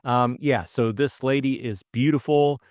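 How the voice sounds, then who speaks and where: A man's voice: medium pitch, a little slow, darkish tone, dry recording, clean studio sound. A middle-aged man co-hosting an audiobook, narrating and chatting informally about the book in a hesitant way. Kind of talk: narration and casual book discussion.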